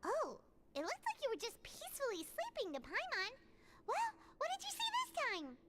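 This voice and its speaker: A woman voicing a small, childlike fairy companion in a video game, squeaky and chatty, easily worried and speaking of herself in the third person. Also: wildly swooping pitch, normal speed, heavy background noise, full character voice. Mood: eager. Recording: loud game music behind.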